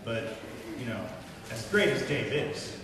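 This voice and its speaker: A man's voice: mid-range voice, lively intonation, measured pace, heavy background noise. A man working as master of ceremonies, introducing guests to a live audience at a convention in a showman-like way. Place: large convention hall, crowd behind. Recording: very echoey hall, distant mic, loud crowd.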